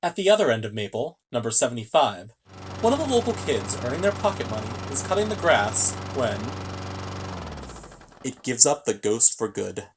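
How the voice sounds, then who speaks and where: An actor playing the solemn, ominous narrator of an uncanny radio play, reading scripted narration. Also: male voice, mid-range voice, sing-song, moderate pace, noisy background. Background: loud music.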